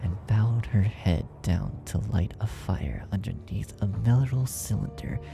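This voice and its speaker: A man with a deep, creaky voice narrates a horror tale. He reads from a script in a dramatic storytelling style, with music clearly playing behind him. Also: poor audio. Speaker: narrator, fan fiction reader.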